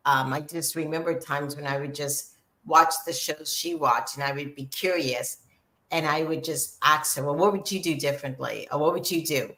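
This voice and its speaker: A woman's voice: low voice, normal speed, bright, forceful tone. A woman hosting a casual podcast, asking her guests questions and affirming what they say. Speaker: podcast host.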